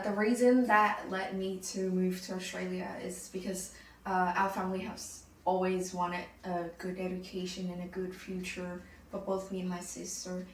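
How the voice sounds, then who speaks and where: Flat-voiced young woman. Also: on the low side, reverberant, distant mic, slight background noise, low-quality recording. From Vietnam. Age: young adult. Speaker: interviewee. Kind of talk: casual personal interview.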